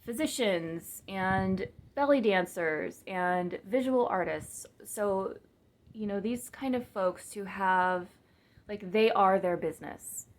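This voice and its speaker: A woman talking casually to her camera in a monotone, flat voice, rambling with fillers and restarts and poking fun at herself. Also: medium pitch, slow. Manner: rambling, many fillers and restarts, self-mocking. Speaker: vlogger and business coach. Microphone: camera mic, speaking to the lens.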